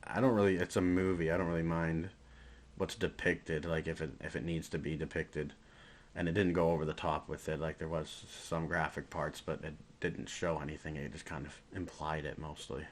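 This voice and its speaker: Low deep voice guy cohosting a movie podcast, chatty and into it. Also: flat delivery, moderate pace. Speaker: podcast co-host. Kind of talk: casual film discussion.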